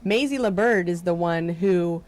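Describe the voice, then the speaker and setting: A woman theater director with a loud voice, chatting in a TV studio interview and laughing easily. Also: fairly low pitch, unhurried.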